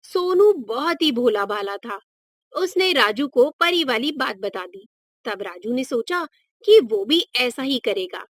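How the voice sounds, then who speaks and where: A woman's voice: a little high, expressive, takes it slowly, dry recording, clean studio sound. A woman narrating a scripted moral story for children as a storyteller.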